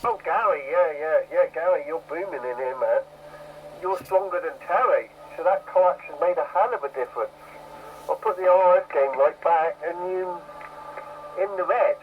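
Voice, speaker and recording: A man chats over a CB radio that sounds dull and slightly noisy. His voice sits a little high, and he is agreeable, often saying things twice. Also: moderate pace. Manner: agreeable, repeats himself. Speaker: amateur CB radio operator. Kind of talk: casual CB radio chat. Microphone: CB radio set. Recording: dull, lightly hissy CB radio.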